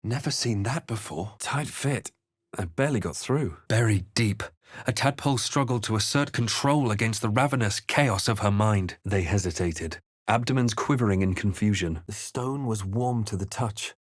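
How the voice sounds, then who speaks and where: A male actor playing a brooding, resolute fantasy RPG adventurer in a video game, with a measured, dramatic storytelling delivery. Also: on the low side, lively intonation, slightly rough, dry recording, studio quality. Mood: dry, terse. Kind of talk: scripted video game character lines and narration.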